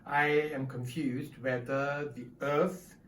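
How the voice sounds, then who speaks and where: Very low-voiced woman, echoey room. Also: level tone, slow pace. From Singapore. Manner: inquisitive, prompting with questions. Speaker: storyteller, presenter for children. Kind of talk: interactive children's storytelling chat. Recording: echoey room, distant mic, faint noise.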